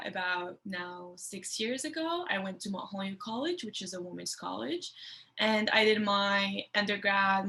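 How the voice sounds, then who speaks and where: A woman in her twenties from Morocco, talking casually to students with plenty of fillers and restarts. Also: medium pitch. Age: 20s. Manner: relaxed, with many fillers and restarts. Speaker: guest speaker for an education program.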